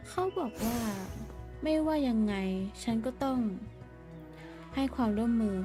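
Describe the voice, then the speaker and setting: A woman's voice: fairly high pitch, rapid-fire. A woman game streamer giving casual, blunt, slangy commentary and reactions while game music plays. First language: Thai.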